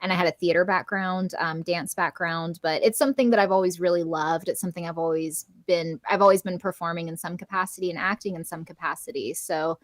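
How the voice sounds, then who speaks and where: A woman's voice: fairly low pitch, steady tone, fairly quick, few pauses, no room sound. Chatty woman voice actor, dry close sound. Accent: General American. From Southern California. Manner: chatty, many "like" fillers, laughs often. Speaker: podcast guest, voice actor and coach. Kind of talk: casual interview chat.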